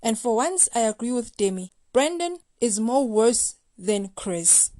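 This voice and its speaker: High-voiced woman recapping reality TV. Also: very animated, a little slow, over music. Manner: playful, gossipy commentary with slang. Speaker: host of a reality TV recap show. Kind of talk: scripted recap narration.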